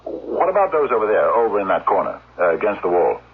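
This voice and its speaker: An actor in a 1970s radio drama playing a menacing, oddly courteous robber, haltingly polite yet coldly insistent. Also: male voice, low-pitched, big pitch swings, slightly rough, narrow, tinny audio, full character voice. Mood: hesitant, polite. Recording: noisy, thin vintage radio recording.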